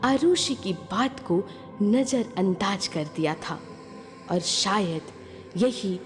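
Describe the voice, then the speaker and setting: A woman narrating a horror story dramatically, building suspense with phrases broken by pauses. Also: mid-range voice, lively intonation. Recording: long reverb, clear music behind.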